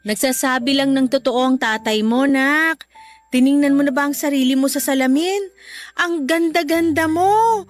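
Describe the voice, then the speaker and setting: An actress playing a warm, teasing Filipino mother and forgiving wife in a radio drama. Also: high-pitched, moderate pace, faint music behind, lightly acted.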